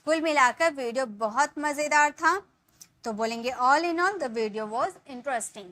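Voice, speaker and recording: A woman in her thirties teaching spoken English, explaining in Hindi and saying the English sentences slowly, word by word, and often checking in with her viewers. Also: high-pitched, sharp tone. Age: 30s. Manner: addresses viewers as guys, checks in with "ठीक है".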